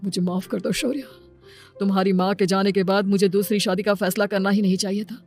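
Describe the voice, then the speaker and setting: Woman doing a cartoon dad, earnest, devoted, plain talking, soft music in back. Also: medium pitch, fairly even intonation, fairly quick, natural voice. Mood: tender. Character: earnest, devoted young father in a cartoon tale.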